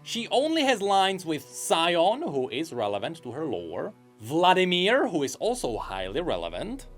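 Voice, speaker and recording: A man's voice: fairly high pitch, wildly swooping pitch, measured pace, soft background music. A man narrating a scripted commentary video about game character lore, eager and explanatory.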